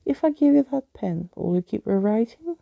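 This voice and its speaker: A woman's voice: medium pitch, lively intonation, moderate pace, vocal fry, warm tone, dry recording. A woman voicing a kind, plucky young girl with an earnest, reassuring manner in a storybook.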